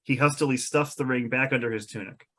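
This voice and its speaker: Mid-pitched man reading stage directions. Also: fairly quick, bright, forceful tone. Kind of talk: scripted narration.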